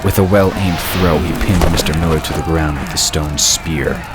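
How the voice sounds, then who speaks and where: A man's voice: rumbling low, fairly even intonation, normal speed. A man narrating a scripted fantasy story as the storyteller. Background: loud music.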